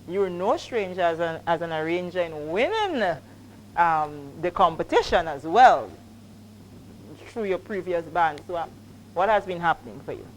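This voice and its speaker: A woman's voice: on the low side, moderate pace, slight background noise, low-quality recording. Chatty Grenadian woman host. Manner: chatty, teasing, lively questions. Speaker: event host interviewer. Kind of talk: casual on-site interview.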